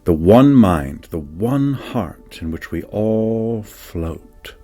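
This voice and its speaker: Deep-voiced man reading slowly and deliberately. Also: expressive, mellow. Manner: measured, deliberate, with dramatic pauses. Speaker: reader of a spiritual book. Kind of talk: scripted narration of a meditation teaching. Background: faint music.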